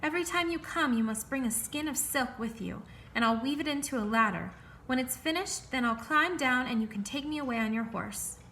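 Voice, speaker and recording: A woman voicing a sweet young maiden in a tower, speaking gently and eagerly. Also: sits high, animated, fairly quick, slight background noise, exaggerated voice.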